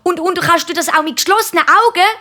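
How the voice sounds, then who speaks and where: A woman's voice: extremely high pitch, fast, bright, forceful tone, pitched above the performer's own voice. A woman voicing cheeky, naive little schoolchildren in jokes, with a Swiss accent and an eager, sing-song way of talking. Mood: curious, insistent.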